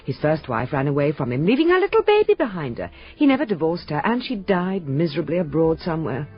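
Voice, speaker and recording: A woman's voice: sits low, big pitch swings, fast talker. A woman playing a glamorous, self-absorbed society actress who gushes and coaxes theatrically in a radio drama. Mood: aggrieved, dramatic. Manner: theatrical, gushing, coaxing. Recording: muffled radio drama with music.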